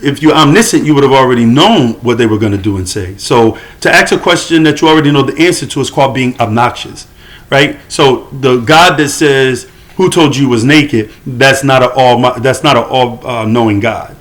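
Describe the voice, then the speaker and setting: A man delivering a solo monologue to camera, arguing and lecturing in a questioning way, sometimes slowing and dropping quieter for emphasis. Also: mid-range voice. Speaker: religious commentator.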